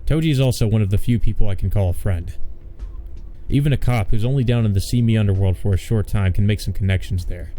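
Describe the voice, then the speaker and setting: A man's voice: on the low side, fast talker, dark tone. A man reading a visual novel aloud as a let's player, adding wry, offhand comments. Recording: faint music behind the voice.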